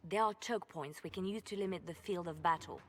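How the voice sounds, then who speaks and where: A woman's voice: lowish voice, a little fast, a bit bright, a little hiss or hum, lightly acted. An actress voicing a cool female spymaster who speaks in a matter-of-fact way.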